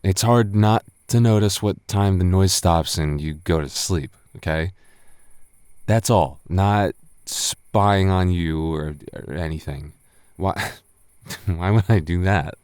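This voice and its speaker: A very low, unhurried young male voice acted by a man plays a tipsy roommate in an intimate roleplay audio. He stammers and rambles, teasing yet flustered and secretly smitten. The sound is close and dry. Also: a little mellow, clear, resonant tone, no echo. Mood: defensive, flustered. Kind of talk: boyfriend roleplay audio acting.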